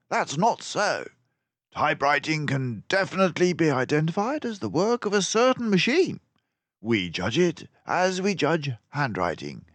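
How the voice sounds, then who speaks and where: A man's voice: sits high, no room sound, pitched above the performer's own voice. A man voicing a clever, confident mouse detective from a storybook, with an emphatic, precise, lecturing manner. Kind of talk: storybook character voice.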